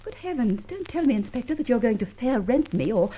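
An actress playing a poised, cheeky society woman in an old-time radio drama, husky, airy and mock-innocent. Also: fairly high pitch, very animated, fairly quick, warm tone. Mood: mock-innocent, chatty. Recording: dull, lo-fi old radio recording.

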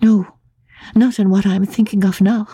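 A woman voicing an anguished, gentle young gentlewoman, earnest and halting, in a dramatic classic audiobook. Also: medium pitch, fairly even intonation, husky, no echo, clean studio sound, voice pushed up. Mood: tearful, determined.

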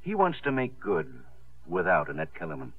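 Deep-voiced gentle father, old radio. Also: huge pitch swings, dry recording, heavily acted. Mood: grave, advising. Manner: measured, kindly, fatherly. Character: gentle, devoted older father, a musician. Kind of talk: 1950s radio drama acting. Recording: tinny, phone-like 1950s radio broadcast.

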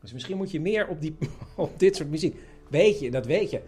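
A man's voice: a little high, animated, fairly quick, darkish tone, breathy edge, faint music behind. A man, talking casually and telling a personal story as host and narrator. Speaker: host and narrator, interviewing his father.

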